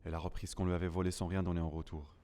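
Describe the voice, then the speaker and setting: A man's voice: sits low, level tone, very fast, dark tone, lightly acted. A man playing a lonely, grieving survivor of a plant apocalypse, narrating a first-person audio drama in a somber, melancholic, dramatic way. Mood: grave, ominous.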